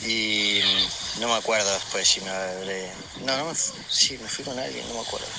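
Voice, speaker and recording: A man's voice: fairly low pitch, fairly even intonation, moderate pace, gravelly. An Argentine man telling a casual personal anecdote in a hesitant, colloquial way, as a voice message. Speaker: listener sending an audio message. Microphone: phone voice message. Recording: voice message with loud background noise.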